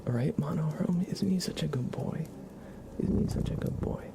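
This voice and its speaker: A man hosting an ASMR livestream, chatting casually in a soft, whispery murmur and gently repeating his phrases. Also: a little high, animated, moderate pace, gravelly, noisy background.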